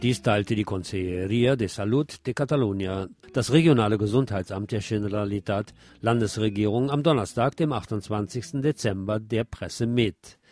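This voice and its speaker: A man's voice: on the low side, moderate pace, hardly any pauses, a little mellow, faint music behind. A man hosting a radio programme, reading scripted commentary and news. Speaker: radio host.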